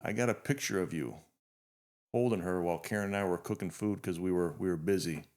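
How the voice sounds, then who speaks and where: Deep-voiced man in his fifties hosting. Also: brisk, a little mellow. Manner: earnest, reflective, frequent restarts. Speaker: podcast host. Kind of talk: heartfelt interview introduction.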